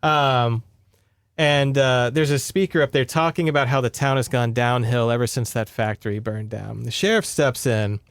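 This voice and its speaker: A man's voice: medium pitch, fairly even intonation, normal speed, clear, resonant tone, dry recording. A man with an American accent chatting casually and wryly as he recaps a book as a podcast co-host. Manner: wry, informal, sarcastic.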